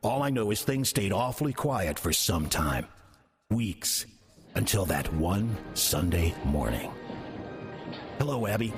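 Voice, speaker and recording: An actor playing a sly demon who passes as a folksy churchgoer, in a wry, calculated audio drama performance. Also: male voice, lowish voice, lively intonation, unhurried, soft background music. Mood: wry, confiding, then casual, folksy. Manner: smooth-talking, wry, calculated. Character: sly demon posing as a friendly small-town churchgoer. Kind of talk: audio drama acting and first-person narration.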